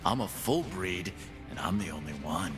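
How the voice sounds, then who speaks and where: A cocky half-beast pit boss. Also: male voice, low-pitched, animated, normal speed. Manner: swaggering, boastful, tough-guy taunts. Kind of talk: game character voice lines. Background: game music.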